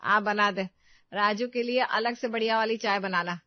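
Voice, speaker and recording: A woman's voice: mid-range voice, normal speed, no room sound, dull audio, close to an everyday voice. A woman voicing a harsh, bossy older mother-in-law with a commanding manner in a family drama story. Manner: scolding, commanding.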